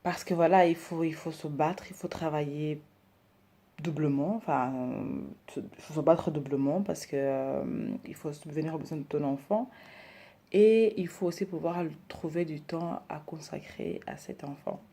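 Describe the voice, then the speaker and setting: A woman in her thirties, from Rwanda and raised in Belgium, introducing herself and her decor business, hesitant with many pauses. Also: low-pitched, mellow. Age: 30s. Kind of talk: personal introduction to camera.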